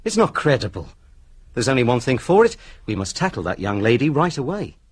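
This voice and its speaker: An actor playing a blunt, skeptical police inspector in a radio play, speaking in a brisk, doubting way. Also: male voice, medium pitch, sing-song, slightly rough, no echo. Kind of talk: radio drama acting.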